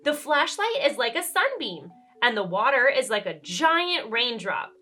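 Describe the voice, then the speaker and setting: A woman's voice: on the high side, sing-song, measured pace, sharp tone, faint music behind, full character voice. A woman voicing an eager, proud girl in a children's storybook. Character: excited, knowledgeable girl explaining rainbows to a friend.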